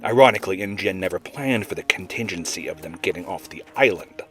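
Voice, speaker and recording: A man playing a weary, gruff wildlife agent in an audio drama, speaking in a measured, serious way. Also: low voice, animated, fairly bright tone, faint music behind. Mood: grave, explanatory.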